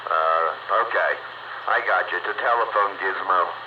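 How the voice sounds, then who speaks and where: A man's voice: sits low, normal speed. An American man chatting casually over CB radio as the host, with a folksy, coaxing way of talking. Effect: two-way radio transmission. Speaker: net host on a CB radio round-up. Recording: dull, noisy CB radio transmission. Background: loud radio static.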